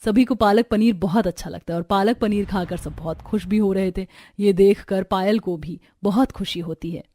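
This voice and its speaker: A woman's voice: mid-range voice, moderate pace, warm tone. A woman giving scripted narration of a simple cartoon family story.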